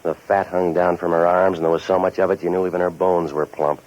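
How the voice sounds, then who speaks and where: A very low-pitched actor playing a hard-boiled private investigator from 1940s radio noir. He is cynical and wisecracking, with a deadpan, sardonic delivery. The old broadcast recording is dull and muffled. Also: a little fast, close to an everyday voice. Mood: wry, world-weary narration. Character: wisecracking, cynical tough-guy hired investigator and narrator.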